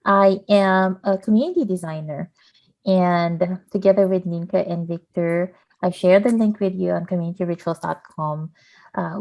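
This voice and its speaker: A woman from the Philippines introducing her work in an online workshop over a video call, soft-spoken and hesitant with many uhs. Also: lowish voice, rough recording. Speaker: community designer.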